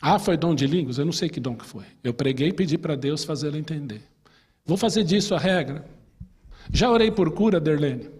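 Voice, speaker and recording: A Brazilian-accented pastor leads a Bible class in a church hall, his somewhat high voice husky and breathy. He talks in an easy, chatty way, quizzing the listeners. Long reverb trails each phrase. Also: animated, a little fast, darkish tone. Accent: Brazilian Portuguese. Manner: chatty, asks the class questions, tells personal stories. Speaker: pastor teaching a Sunday school class. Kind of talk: conversational Bible class teaching.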